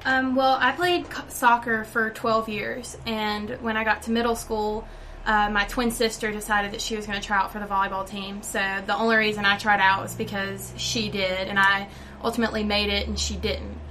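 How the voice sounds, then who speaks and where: A woman's voice: medium pitch, little pitch movement, moderate pace, bright, forceful tone, slight background noise, poor audio. A young woman from North Carolina, a college volleyball player giving plain, matter-of-fact interview answers. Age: young adult.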